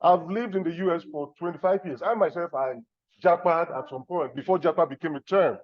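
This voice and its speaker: Man from Nigeria, middle-aged, academic discussant, measured and reflective, dull close sound. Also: fairly high pitch, dry recording. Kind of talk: conference panel remarks. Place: conference room.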